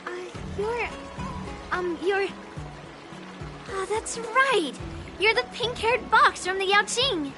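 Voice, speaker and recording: A competitive young swordswoman in a video game. Her voice is high, her manner blunt and informal, and music runs underneath. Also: slow pace, pauses often. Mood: blunt, suspicious. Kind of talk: scripted video game voice acting.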